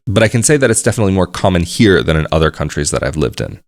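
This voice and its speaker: A man talking casually to the camera, explaining things at a measured pace and repeating phrases for emphasis. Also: lowish voice. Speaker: vlogger.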